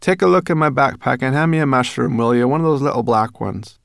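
A man's voice: medium pitch, lively intonation, fast talker, vocal fry, no echo, studio quality, voice pushed up. A man voicing a bossy, grumpy female brownie with a sarcastic, scolding manner, in a children's storybook reading. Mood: brisk, cheery. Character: bossy, grumpy female furry brownie, sharp-tongued and cocky. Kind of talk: children's audiobook character voice.

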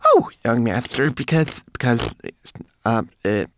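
A tiny storybook homunculus manservant, pitched well above the reader's natural voice. He is timid yet indignant, formal and prone to stammering, with a squeal in his protests. The sound is close and dry. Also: huge pitch swings, takes it slowly, fairly bright tone, dry recording, full character voice. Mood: flustered.

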